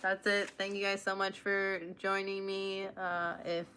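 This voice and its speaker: A woman's voice: mid-range voice, level tone, measured pace, pushed and bright, clean tone. A young woman hosting a casual livestream, reading aloud and chatting affectionately with her viewers. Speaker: livestream host.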